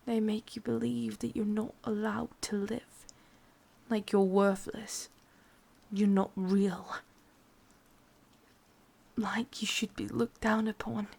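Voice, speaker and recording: Woman doing brooding evil witch for asmr roleplay, english accent, slow breathy whisper with some creak. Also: mid-range voice, exaggerated voice. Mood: wounded, bitter. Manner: slow, hushed, deliberate. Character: sadistic, brooding female witch, bitter and obsessive.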